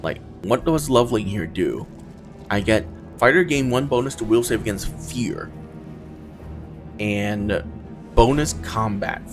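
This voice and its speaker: Lively-pitched man musing over a video game. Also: a little slow, long pauses, over music. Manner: hesitant, musing, trailing off between thoughts. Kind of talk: casual thinking-aloud game commentary.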